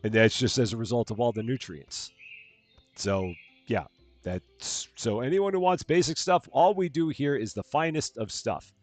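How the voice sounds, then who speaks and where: A man with a calm, soothing voice, casually talking through a game on a live stream and thinking aloud as he plays. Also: mid-range voice, moderate pace, dry recording, soft background music. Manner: soft-spoken, rambling, thinking aloud.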